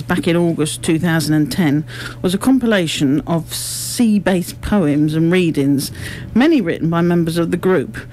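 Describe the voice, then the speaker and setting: A woman's voice: lowish voice, darkish tone. Woman with noticeable background noise. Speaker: amateur drama group member being interviewed. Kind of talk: radio interview, prepared-sounding answers.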